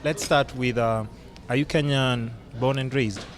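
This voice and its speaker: A man's voice: mid-range voice, steady tone, unhurried, a little hiss or hum. A man hosting a podcast in casual chat, a teasing, joking interviewer. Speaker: podcast host.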